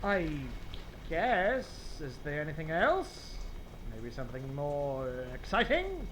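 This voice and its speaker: A man's voice: on the high side, sing-song, slow pace, background noise. A man playing a sceptical, easily bored character with a lurid imagination in a comedic dialogue, dry and hesitant or melodramatic. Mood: unimpressed.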